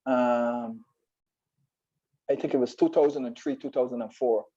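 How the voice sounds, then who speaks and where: A man with a Barbadian accent speaking as a guest poet in an interview, explaining in a halting way and often repeating words. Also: mid-range voice, steady tone, mellow, lo-fi sound.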